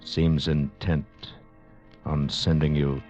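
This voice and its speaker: A male announcer reading a dramatic radio trailer in a slow, ominous way. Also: rumbling low, level tone. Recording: lo-fi vintage radio broadcast with music.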